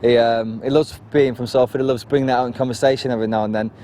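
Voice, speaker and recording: A man's voice: medium pitch, steady tone, fast talker, warm tone, slight background noise. Hesitant, self-deprecating male actor. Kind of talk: casual interview chat.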